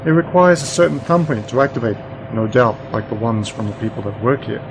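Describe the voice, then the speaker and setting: A man's voice: mid-range voice, expressive, brisk, creaky, noisy background. A man playing a smug, curious know-it-all uncle with a dry, self-assured manner in an amateur radio play.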